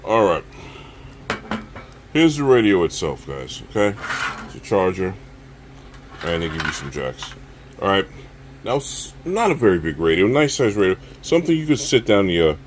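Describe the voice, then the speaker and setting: A man hosting a casual, unscripted gear review, talking straight to viewers and often checking in with a quick okay or all right. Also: sits low, a little hiss or hum. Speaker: survival channel host reviewing gear.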